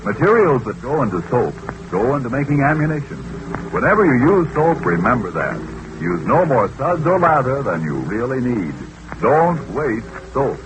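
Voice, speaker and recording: A man's voice: medium pitch, big pitch swings, moderate pace. A man announcing in a scripted 1940s radio style, as a programme announcer. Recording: noisy old radio recording.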